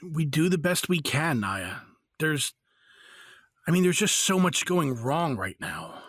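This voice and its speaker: A man's voice: fairly high pitch, sing-song, slow pace, a bit bright, slightly rough, no echo, studio quality, full character voice. A man playing a supportive, earnest father in a fantasy audio drama, with a natural conversational delivery. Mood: reassuring. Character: supportive, argumentative father held in a fantasy jail.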